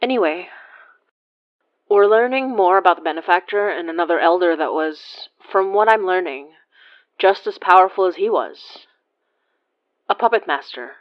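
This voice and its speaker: An actress voices a chatty, teasing woman in a podcast drama. She talks casually in a slightly low voice, recorded dry and a bit dull. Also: lively intonation, normal speed, long pauses, no echo, lightly acted. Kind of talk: casual monologue, audio drama.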